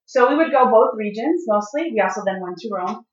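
A woman's voice: a little high, animated, brisk. A middle-aged woman from the Chicago area, bilingual in English and Italian, speaking as an interview guest in an earnest way with frequent fillers and restarts. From Chicago area, United States. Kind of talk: casual interview conversation. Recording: dull, roomy sound from a distance.

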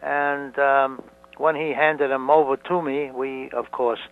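A very old man halting, elderly man, muffled recording. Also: mid-range voice, level tone. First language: Italian. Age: 90s. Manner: slow, halting, many fillers and restarts. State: hard of hearing. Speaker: museum founder. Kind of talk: interview answers. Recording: dull lo-fi recording.

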